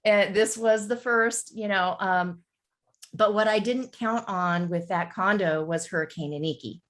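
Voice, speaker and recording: A woman in her fifties recounting her story as a podcast guest, chatty and animated. She speaks straight to listeners and calls them folks. Her voice sits in an ordinary middle pitch for a woman. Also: a little slow. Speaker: guest, real estate broker. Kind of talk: casual storytelling interview.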